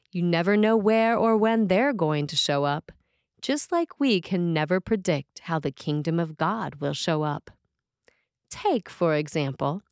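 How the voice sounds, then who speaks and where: Woman priest reading a written sermon, lower-pitched, deliberate and measured, recorded very dry. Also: a little mellow, dry recording. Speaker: preacher, priest. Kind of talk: scripted sermon read aloud.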